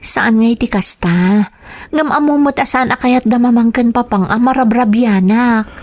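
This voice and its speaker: A woman's voice: a little high, normal speed, a little mellow, lightly acted. Actress doing young ilocano working girl in a radio drama, dutiful, earnest, kinda pleading. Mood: gently pleading. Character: young working woman of twenty-two, dutiful, pleading daughter.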